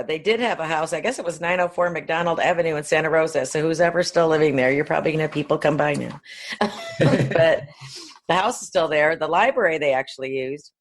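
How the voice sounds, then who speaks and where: A woman's voice: sits low, fairly quick. A woman co-hosting a podcast, talking in a casual, agreeable, conversational way about film.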